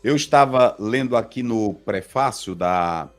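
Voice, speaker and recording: Earnest pastor hosting a live talk. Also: male voice, on the low side, expressive, measured pace. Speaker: pastor and host.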